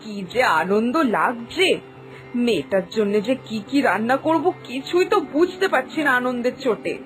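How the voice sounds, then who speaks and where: A woman's voice: fairly high pitch, animated, moderate pace, soft background music, close to an everyday voice. Woman voicing a fretful village mother. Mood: fond. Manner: fretful, emotional, rambling. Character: fond village mother Boni's ma, simple and fretful. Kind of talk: cartoon voice acting.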